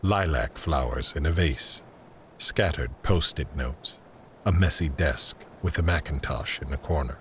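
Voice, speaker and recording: Very deep-voiced male narrator. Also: a bit bright, a little hiss or hum. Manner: measured, descriptive. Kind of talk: scripted screenplay-style narration.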